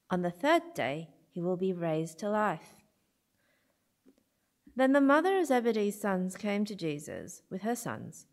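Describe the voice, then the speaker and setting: A woman reads a scripture passage aloud to a church congregation. Her voice sits at a middle pitch, and her delivery is measured and steady. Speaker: scripture reader.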